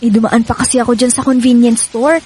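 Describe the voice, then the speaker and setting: An actress playing a gentle, reassuring young pregnant wife in a conversational, coaxing radio drama manner. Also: female voice, a little high, flat delivery, fast talker, breathy edge. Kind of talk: Filipino radio drama dialogue. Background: faint music.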